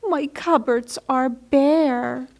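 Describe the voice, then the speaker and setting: A woman as a gentle storybook bear, high-pitched, slow, drawn-out and humble. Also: fairly even intonation, vocal fry, full character voice. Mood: glum. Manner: drawn-out, sighing delivery. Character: big gentle bear, grateful but downcast.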